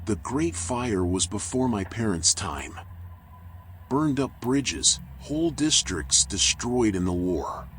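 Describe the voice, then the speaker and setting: A man's voice: fairly low pitch, big pitch swings, slow, fairly bright tone, slight background noise, exaggerated voice. A man voicing a weary, self-loathing raccoon private eye in a noir video game, brooding and bitter, muttering with a whispery edge. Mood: gloomy, weary. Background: music.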